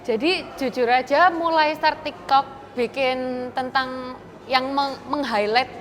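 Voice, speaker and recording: A woman's voice: sits high, steady tone, slow, fairly bright tone. A woman in her twenties with a Surabaya Javanese accent, chatting and telling stories as a talk show guest. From Surabaya, East Java, Indonesia. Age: 20s. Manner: chatty storyteller, laughs easily. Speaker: guest, TikTok creator. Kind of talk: casual talk show chat.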